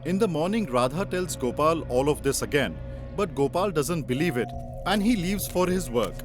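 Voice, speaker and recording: A man's voice: fairly high pitch, expressive, moderate pace, music in the background. A man narrating a children's story as a scripted read.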